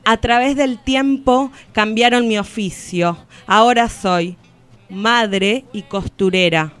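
Woman acting defiant grieving everywoman in a radio play, slow solemn delivery, smooth voice. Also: fairly high pitch, fairly bright tone, soft background music. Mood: proud, grieving. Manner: solemn, deliberate, poetic declamation. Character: defiant collective woman of all ages, grieving and accusing. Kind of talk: declamatory radio theatre recitation.

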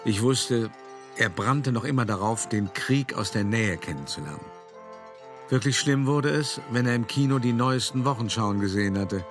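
A man plays an older art dealer looking back on his life in a radio play. He is wry and a little self-important, speaking articulately and theatrically with pointed pauses. His pitch is middling for a man, and music plays clearly behind him. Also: natural voice. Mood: wry narration. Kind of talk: theatrical first-person monologue, storytelling.

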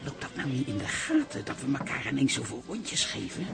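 An actress playing a chatty, teasing older aunt and pub regular in a Dutch radio comedy, blunt and gossipy. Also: female voice, very deep voice, huge pitch swings, fairly quick, gravelly, heavy background noise, exaggerated voice.

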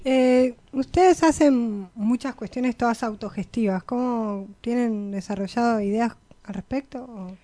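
A woman with an Argentine accent hosting a casual radio interview, asking informal, halting questions. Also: on the high side, warm tone.

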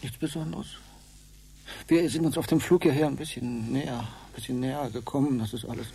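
An actor playing an evasive, reluctant adventurer in a German radio drama, halting and repeating his words, with a creaky voice. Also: mid-range voice, fairly even intonation, mellow. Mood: sheepish. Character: evasive, hesitant male reluctant adventurer, averse to violence.

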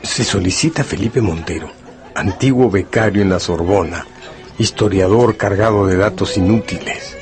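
An actor playing a brooding, deliberate narrator in a radio drama, addressing the listener as you. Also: male voice, low-pitched, lightly acted. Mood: brooding, intent. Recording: prominent music under the voice. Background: music.

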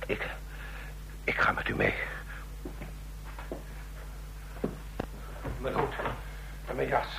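A desperate, shifty agent in a vintage radio drama. The voice is sharp and animated, nervous and pleading, over a noisy, humming old recording. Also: male voice, medium pitch, a little slow, gravelly, full character voice. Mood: faltering, weakened. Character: desperate, shifty male secret agent selling stolen secrets. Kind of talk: vintage Dutch radio play acting.

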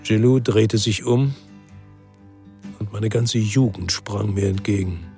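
Low-voiced brooding ex-cop. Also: male voice, fairly even intonation, slow pace, creaky, mellow, soft background music. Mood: reflective. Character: brooding male ex-policeman narrating his own crime story. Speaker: first-person narrator and lead actor. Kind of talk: literary radio crime drama narration.

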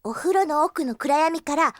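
An actress playing a naive, polite and excitable young girl in an audio drama, with an earnest girlish manner. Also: high voice, a little slow. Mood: earnest, concerned. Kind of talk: Japanese audio drama acting.

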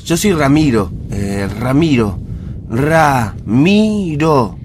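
A tender young soldier with an Argentine accent, acted by a man for radio drama. He speaks slowly and hesitantly in a mid-pitched voice. A faint rumble sits behind him. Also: big pitch swings, darkish tone. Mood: shy, smitten. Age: young adult. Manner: earnest, hesitant. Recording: rumbly background noise.

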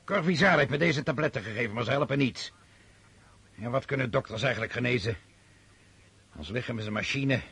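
A man acting an imperious, brooding French emperor on campaign in a radio play, with a commanding, declamatory manner and a husky voice. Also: medium pitch, a little fast, pauses often, a bit bright, exaggerated voice. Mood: irritable, brooding.

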